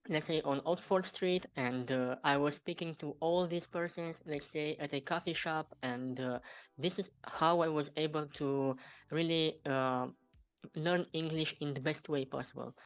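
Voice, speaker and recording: A man hosting his own channel, interviewing a guest at a deliberate pace with many fillers. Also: fairly high pitch, smooth.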